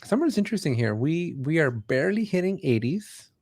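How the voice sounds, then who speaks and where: A man's voice: on the high side, very animated, fairly quick, darkish tone. A man hosting an interview in a casual, conversational style. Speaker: host.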